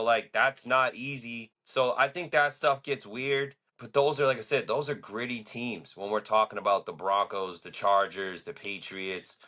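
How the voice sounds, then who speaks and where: A man's voice: fairly low pitch, sharp tone. A man co-hosting a casual sports podcast, talking loosely with lots of fillers and emphatic slang. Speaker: podcast co-host.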